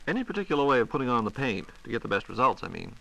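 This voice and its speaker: A man with a medium-pitched, bright voice hosts a 1940s radio question-and-answer show. He moves along quickly, putting scripted questions in a polite, crisp announcer manner. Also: very animated, poor audio. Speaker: radio host reading listener questions. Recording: 1940s radio broadcast recording.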